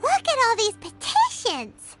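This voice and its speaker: A woman voicing a tiny, excitable fairy companion in a video game, with a squeaky childlike voice and bubbly, expressive manner. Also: a little slow, loud music behind the voice, full character voice. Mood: amazed, sympathetic.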